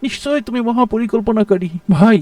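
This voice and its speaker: A man's voice: extremely high pitch, a little fast, darkish tone, dry recording, distant mic. A man voicing a kind, devout rescuer in a cartoon, earnest and emphatic. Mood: awed, thankful. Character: kind, devout male passer-by rescuing a stranded woman. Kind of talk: dramatic cartoon dialogue.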